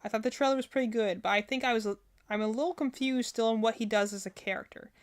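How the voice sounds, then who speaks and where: Female reaction streamer, slightly high-pitched, casual and opinionated, rambles as she thinks out loud. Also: fast. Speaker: streamer reacting to game character trailers.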